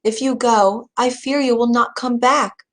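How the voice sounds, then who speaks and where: A woman's voice: a little high, steady tone, unhurried, voice pushed up, natural voice. A woman voicing a brave, devoted young daughter in a storybook, speaking earnestly and with resolve. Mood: worried, pleading.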